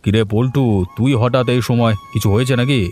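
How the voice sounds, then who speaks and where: A man voicing a kindly village headman in a cartoon, calm, fatherly and reassuring. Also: mid-range voice, fairly quick, a little hiss or hum, natural voice. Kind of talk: cartoon voice acting.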